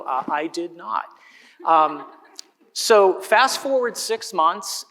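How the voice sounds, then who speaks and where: A man's voice: on the high side, pushed and bright. A middle-aged man with a Boston accent, telling a story to a live audience as a conference panelist. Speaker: conference panelist, corporate executive. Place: indoor conference hall, on stage. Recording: reverberant conference hall.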